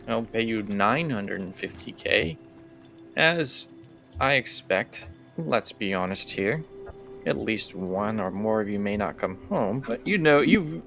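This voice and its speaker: A man voicing a smooth, condescending crime family boss in a tabletop roleplay, speaking deliberately and formally. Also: mid-range voice, fairly bright tone. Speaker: guest game master.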